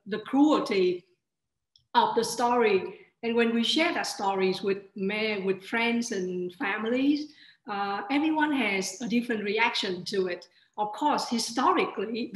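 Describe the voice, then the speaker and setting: A middle-aged woman, a librettist speaking thoughtfully with some hesitations on a video call. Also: mid-range voice. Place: home room. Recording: echoey room.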